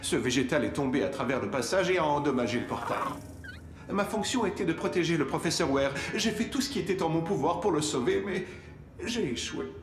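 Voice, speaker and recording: A man voicing an earnest, literal-minded robot servant in an animated series, formal and exact in his speech. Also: on the high side, a little fast. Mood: earnest, explanatory. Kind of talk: dubbed animated series acting. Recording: echoey, with clear music behind.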